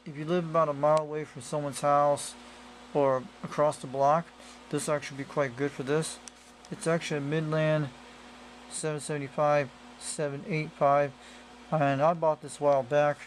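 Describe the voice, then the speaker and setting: A man's voice: fairly high pitch, steady tone, moderate pace. A man giving a casual hands-on demonstration as a hobbyist, explaining in a rambling, repetitive way on a noisy amateur camera recording. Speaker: radio hobbyist presenter.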